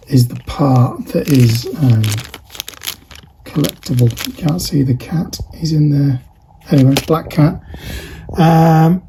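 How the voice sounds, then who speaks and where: A man's voice: fairly high pitch, warm tone, a little hiss or hum, rough recording. A man chatting casually while packing orders, rambling and thinking aloud with lots of fillers. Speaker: online parts seller. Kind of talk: casual behind-the-scenes vlog chat.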